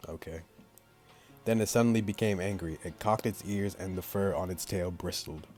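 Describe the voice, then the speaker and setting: A man with a very low voice reads a visual novel aloud as a gaming let's play. His commentary is slangy and joking, and game music plays behind him. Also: steady tone, normal speed, darkish tone. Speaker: gaming YouTuber.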